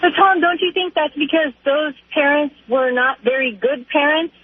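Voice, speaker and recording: A woman's voice: sits high, moderate pace. A middle-aged woman calling in to a radio show, chatting casually in a hesitant, rambling way. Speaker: caller. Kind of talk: casual conversational phone call. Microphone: telephone. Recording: tinny narrow phone line.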